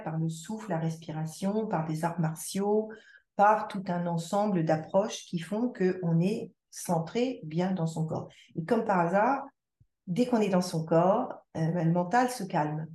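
A woman's voice: fairly low pitch, unhurried, warm tone. Woman, podcast guest, thoughtful talk, frequent restarts, echoey room. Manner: reflective, many restarts and repetitions. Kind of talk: conversational interview.

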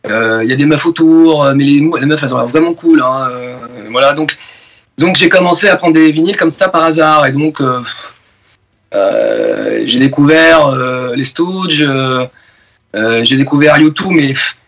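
A man's voice: on the high side, expressive, normal speed, fairly bright tone. A man in his forties from France, a musician talking loosely in a radio interview, full of fillers and restarts. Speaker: guest musician. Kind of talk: casual interview talk.

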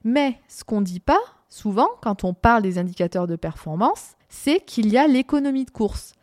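A woman's voice: on the high side, lively intonation, moderate pace, darkish tone, no room sound, studio quality. A young woman hosting a running show, explaining things in a casual, thinking-aloud way with frequent hesitations and restarts. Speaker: running podcast host.

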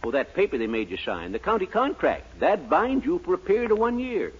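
A folksy older American man with a fairly high, very lively voice that swings up and down. He sounds amiable and small-town, on a muffled vintage radio comedy recording. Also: slightly rough, full character voice. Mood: matter-of-fact. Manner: folksy, drawn-out punchlines. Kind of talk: 1940s radio sitcom acting.

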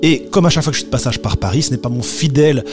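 A man's voice: mid-range voice, rapid-fire. Podcast host man over music. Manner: polished host patter with playful asides. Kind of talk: hosted music podcast talk. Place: radio studio.

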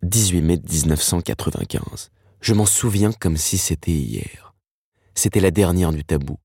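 Very deep-voiced man narrating. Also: warm tone, slightly rough, no room sound, clean studio sound. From Paris, France. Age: 30s. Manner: wry, slangy, dramatic pauses. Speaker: narrator telling his own life story. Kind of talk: scripted first-person narration.

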